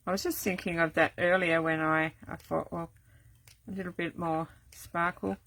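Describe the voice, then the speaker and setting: Older woman, gravelly and croaky, rambling, full of ums, lowish voice. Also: flat delivery, moderate pace, a bit bright. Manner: rambling, many ums and restarts. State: voice going croaky. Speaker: card-making crafter. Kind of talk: casual live craft demo. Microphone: camera set up at desk.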